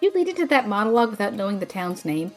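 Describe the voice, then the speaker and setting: A woman's voice: mid-range voice, big pitch swings, rapid-fire, soft background music, lightly acted. A woman playing a witty, brainy magical sidekick, dry, teasing and precise, in a fantasy audio drama. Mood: sardonic.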